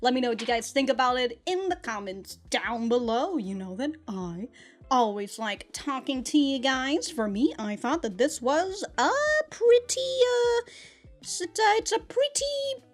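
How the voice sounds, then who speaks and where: Animated young woman reacting to a show. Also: high voice. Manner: animated, laughs often, swears, talks back at the screen. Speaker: anime reaction host. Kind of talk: casual reaction commentary. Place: small room at a desk, in front of a backdrop. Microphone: headphones with an in-line mic.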